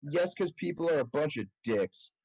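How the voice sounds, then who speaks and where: A man's voice: a little high, lively intonation, moderate pace, darkish tone, breathy edge, dry recording, low-quality recording. A man ranting comically in casual podcast chat, blunt, sweary and mock-lecturing. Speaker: podcast panelist, cosplayer.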